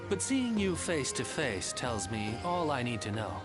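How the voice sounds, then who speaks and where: An eccentric male strategist from a video game, teasing and philosophical. His delivery is theatrical and unhurried, with wide melodic swings. Clear game music plays behind him. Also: mid-range voice, big pitch swings. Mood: breezy, amused. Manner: theatrical, leisurely, riddling delivery. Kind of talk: video game cutscene acting.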